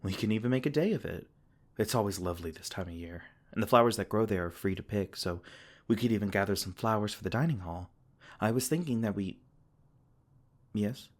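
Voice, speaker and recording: A man playing a deep, gentle fae king. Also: lively intonation, a little fast. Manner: soft-spoken, reassuring, with small laughs. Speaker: ASMR roleplay performer. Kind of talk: ASMR comfort roleplay.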